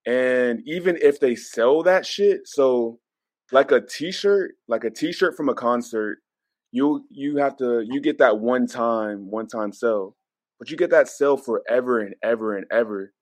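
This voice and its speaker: Male podcast panelist, casual and slangy, mid-pitched, very dry recording. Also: unhurried, dry recording. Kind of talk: casual chat.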